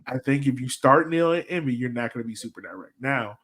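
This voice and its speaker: A man chats as a sports podcast host with a medium-pitched voice. He talks informally and works out his points aloud in a loose, rambling way. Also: expressive, fast, fairly bright tone. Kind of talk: casual soccer fan chat and analysis.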